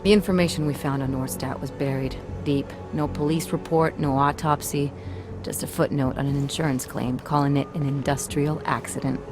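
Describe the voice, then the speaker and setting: An actress playing a tough, embittered ex-pilot turned rebel in a video game, blunt and streetwise. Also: female voice, rumbling low, steady tone, moderate pace, music in the background. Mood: guarded, matter-of-fact. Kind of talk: video game cutscene dialogue.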